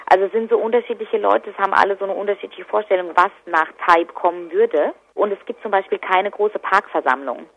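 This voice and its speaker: A woman's voice: medium pitch, a little fast, few pauses, a bit bright. A woman giving spontaneous interview answers as an activist. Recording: dull, lo-fi telephone line.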